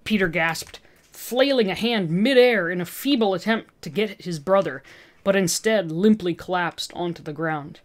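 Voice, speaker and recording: A woman's voice: lowish voice, moderate pace. A woman reading fiction aloud as narrator, in a dramatic scripted storytelling style.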